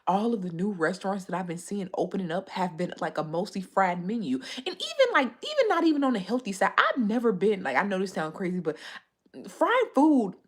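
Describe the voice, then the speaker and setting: A woman's voice: medium pitch, sing-song, brisk. A woman hosting a casual livestream, chatting and answering viewer comments, a bit stuffy from a cold. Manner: chatty, rambling, reads viewer comments aloud. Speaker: livestream host.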